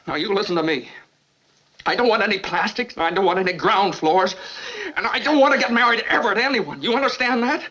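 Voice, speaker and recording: An actor playing a restless young man who fiercely refuses to settle down, in a heated, rapid 1940s film scene. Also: high voice, lively intonation, pushed and bright, heavily acted, low-quality recording. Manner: heated, emphatic, rapid outburst. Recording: hissy old film soundtrack.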